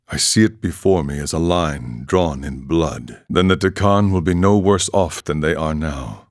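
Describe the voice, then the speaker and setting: A weathered warrior monk, with a very low voice and a close, dry sound. He is calm and ascetic, setting out his philosophy in measured, solemn video game dialogue. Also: dry recording. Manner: measured, solemn, aphoristic storytelling.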